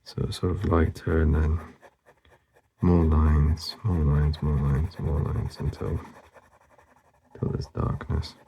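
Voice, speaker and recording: A man narrating casually as he draws, laid-back and murmuring, with a husky, gravelly voice that often trails off. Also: rumbling low, fairly even intonation, slow pace, warm tone, dull audio, a little hiss or hum. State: losing his voice, hoarse. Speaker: artist hosting a drawing channel. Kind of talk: casual narration while drawing.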